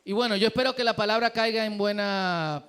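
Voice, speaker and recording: Dominican man preaching, high clear voice. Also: fairly quick, a bit bright. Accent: Dominican Spanish. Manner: conversational, humble, with frequent fillers. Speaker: pastor. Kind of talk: sermon. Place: church.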